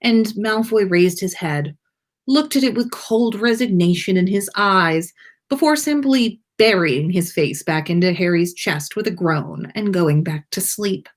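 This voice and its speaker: Female storyteller, animated and unhurried, reading fiction aloud with a very expressive delivery. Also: medium pitch. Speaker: podcast host reading fan fiction aloud.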